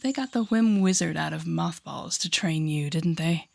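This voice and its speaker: Female villain played by an actress for audio roleplay: menacing telepath, breathy lowish voice, mocking, theatrical, taking deliberate pauses. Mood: taunting, menacing. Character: sardonic, menacing telepathic woman villain taunting a rookie hero. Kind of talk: audio roleplay acting addressed to the listener.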